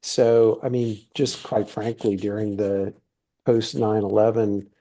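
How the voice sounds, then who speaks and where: Middle-aged guy from thailand, mellow gravelly voice, rambly easygoing interview chat. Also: on the low side, flat delivery, takes it slowly. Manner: easygoing, rambling with many fillers. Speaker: guest, TV series producer. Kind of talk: casual interview conversation.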